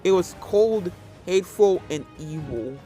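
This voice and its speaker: Higher-pitched man narrating a story. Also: lively intonation, measured pace, mellow, faint music behind. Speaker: fan fiction reader. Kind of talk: dramatic scripted story narration.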